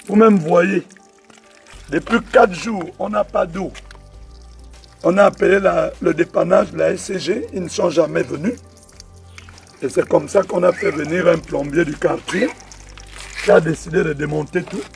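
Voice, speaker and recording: A man's voice: high-pitched, measured pace, creaky, pauses often, recorded from a distance, soft background music. A man with a Gabonese French accent, indignantly complaining in a home video. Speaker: resident filming a complaint.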